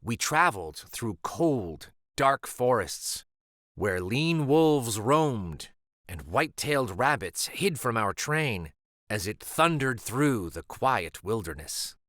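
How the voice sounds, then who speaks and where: A man reading a children's picture book aloud as a storyteller, in an expressive, deliberate manner. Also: mid-range voice, no echo, clean studio sound.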